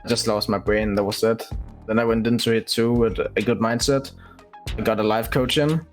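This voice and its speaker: A young man with a Danish accent speaks in an interview as a professional player. His voice is fairly low and his delivery halting, with music playing behind it. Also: steady tone. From Denmark. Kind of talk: interview answers.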